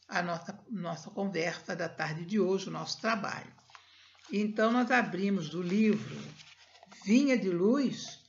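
Older female spiritist lecturer, unhurried reading, muffled video call audio. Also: mid-range voice, slight background noise. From Brazil. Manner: slow, measured reading with soft prayerful passages. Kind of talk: reading and prayer at a spiritist meeting. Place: home room, indoors. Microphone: laptop webcam on a video call.

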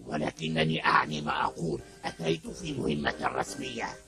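Gravelly big cartoon bully. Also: male voice, a little high, wildly swooping pitch, brisk, exaggerated voice. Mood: boastful, indignant. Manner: boastful, blustering. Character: big burly blustering male cartoon bully. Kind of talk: Arabic cartoon dubbing. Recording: music under the voice.

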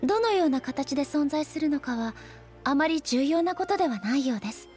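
High-voiced, solemn goddess ruler. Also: female voice, animated, a little mellow, faint music behind. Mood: solemn, reflective. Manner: formal, measured, polite speech. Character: dignified, introspective female deity ruler, calm and solemn. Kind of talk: Japanese game dub acting.

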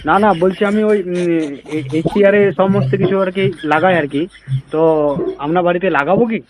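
A man's voice: sits high, moderate pace, noisy background. A man with a West Bengal accent making a comic prank sales call, insistent and repetitive with feigned innocence. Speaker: prank caller. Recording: muffled, lo-fi audio.

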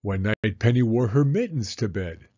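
A man narrating a children's read-aloud story in an expressive, deliberate manner. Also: fairly low pitch, lo-fi sound.

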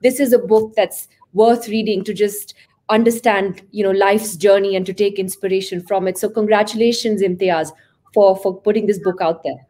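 A woman journalist in her forties hosts an interview over a video call. She speaks fluently and with emphasis, with a few small repeated starts, her pitch in the middle range. The sound comes from a laptop mic in a room at home. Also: fairly even intonation, moderate pace, mellow. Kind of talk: warm interview conversation. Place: room at home with curtains behind.

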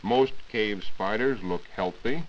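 A man's voice: on the low side, slow. A dull, lightly hissy 1940s recording has a man reporting on science. He reads a prepared script in a formal, explanatory way.

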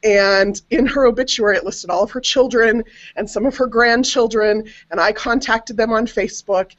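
A woman's voice: a little high, fairly even intonation, normal speed. A middle-aged American woman telling a personal family story casually as a panelist on a web video call. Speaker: panelist on a genealogy web show. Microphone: webcam video call. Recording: dull, compressed internet call audio.

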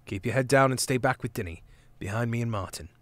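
A man voicing a streetwise male mouse thief with a low, gruff, colloquial manner. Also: fast talker, dry recording, lightly acted. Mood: warning.

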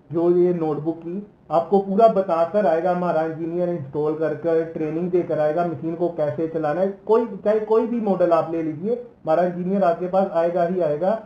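A man in his thirties from Punjab speaks in a fairly high voice, explaining machines from behind a desk. His talk is casual and full of fillers and false starts. The room rings a little, and the sound is a bit dull. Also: reverberant. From Punjab, India. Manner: casual, hesitant, many fillers and restarts. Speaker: machinery company salesman. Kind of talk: product explainer video. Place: office seated at a desk.

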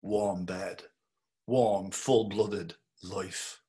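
An actor playing a slow, musing Dublin man. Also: sits low, fairly even intonation, dark tone. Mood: brooding. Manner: musing inner monologue, slow and measured. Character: wry, musing middle-aged Dublin man. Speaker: actor reading a male lead. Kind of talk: dramatic reading over video call. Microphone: webcam on a video call.

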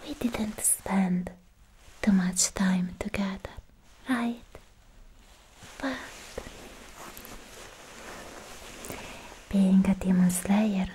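A young woman whispering an ASMR roleplay as a sweet, protective, lovestruck demon slayer, softly and haltingly, close to the mic. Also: medium pitch, natural voice, low-quality recording. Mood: shy, tender. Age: young adult. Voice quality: whispery, breathy soft voice. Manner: slow, halting, affectionate murmuring. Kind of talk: ASMR personal attention roleplay. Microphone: close microphone, whispering near it. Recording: faint hiss, mouth clicks, close-up.

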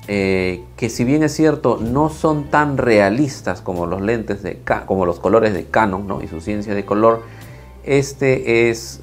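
A man in his thirties, slightly hoarse, talking casually on a lapel mic in a small home studio, with many fillers and restarts. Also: mid-range voice. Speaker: video creator reviewing camera footage. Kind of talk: casual talk to camera, then screen walkthrough.

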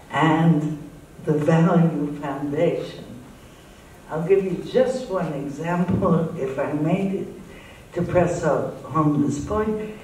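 An older woman born in Israel, speaking English with an American-sounding accent, explaining her points in a measured, emphatic way as a guest in a live on-stage conversation. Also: on the low side, dark tone, a little hiss or hum. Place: stage in a large dark theatre. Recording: echoey hall, voice heard from far off.

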